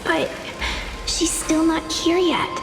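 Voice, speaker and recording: An actress voicing a wistful, hesitant small child in a video game, forlorn and waiting. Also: female voice, very high voice, flat delivery, exaggerated voice. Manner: hesitant, counting slowly. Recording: long echoing reverb, loud music.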